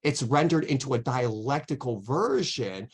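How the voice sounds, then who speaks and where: A man's voice: medium pitch, animated, moderate pace, husky. A man answering questions as a guest lecturer in an online academic talk, careful and hedging, with many restarts. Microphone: video call.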